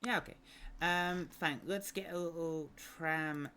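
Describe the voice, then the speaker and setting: A Scottish man streaming a city-building game, thinking aloud in a rambling way with many pauses and ums while he chats with viewers. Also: on the high side, steady tone, pushed and bright, a little hiss or hum. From Scotland. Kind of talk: casual gameplay livestream commentary.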